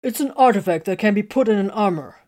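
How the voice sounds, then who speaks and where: A woman voicing an agitated male tech marine who bursts out in excited protest. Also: mid-range voice, fast, close to an everyday voice.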